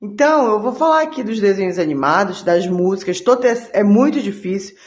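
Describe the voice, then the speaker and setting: A woman talks earnestly about faith to her viewers, pausing and restarting mid-phrase as she gathers her thoughts. Her voice sits at an ordinary middle pitch and rings in a roomy, echoing space. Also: animated. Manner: earnest, halting, many restarts and repeated words. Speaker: channel host. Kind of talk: religious monologue to camera.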